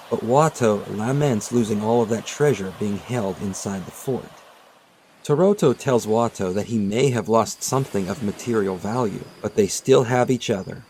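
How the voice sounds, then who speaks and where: A man reading scripted, storybook-style narration in a measured, dramatic storytelling manner. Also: fairly low pitch, darkish tone, a little hiss or hum, rough recording. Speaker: narrator of a manga retelling video. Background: background music.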